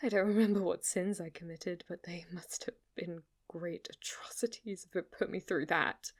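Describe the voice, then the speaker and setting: A woman's voice: lowish voice, steady tone, moderate pace, natural voice. A woman playing a flirty, submissive demon maid who speaks with fanatical reverence in an ASMR roleplay, sometimes dipping into a whisper. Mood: hushed, pained.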